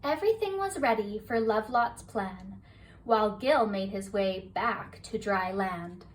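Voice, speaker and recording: A woman reading a children's bedtime story aloud in a soft, rhythmic rhyming delivery. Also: mid-range voice, lively intonation, unhurried. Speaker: storybook reader. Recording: distant mic, faint hiss.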